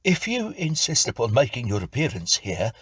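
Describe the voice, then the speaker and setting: A man's voice: mid-range voice, wildly swooping pitch, moderate pace, husky, no room sound, studio quality, pitched above the performer's own voice. A man voicing a nervous, blustering yet polite tenant in a dramatic ghost story reading, with agitated delivery. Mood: composed, polite. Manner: raised, agitated delivery with sudden leaps. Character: startled, poor young male tenant, blustering yet polite.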